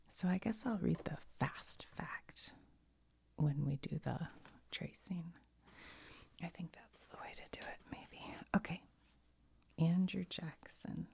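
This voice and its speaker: A woman whispering softly, mostly unvoiced, while she sorts and reads cards with chatty, giggly asides. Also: on the low side, vocal fry. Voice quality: soft whisper, often unvoiced. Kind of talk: whispered ASMR card sorting.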